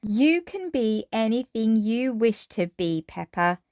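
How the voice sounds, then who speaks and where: A woman voicing a gentle, encouraging mummy pig with a patient, motherly manner in a children's storybook. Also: a little high, big pitch swings, takes it slowly, no echo, studio quality, exaggerated voice. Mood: reassuring. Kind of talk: children's storybook read-aloud.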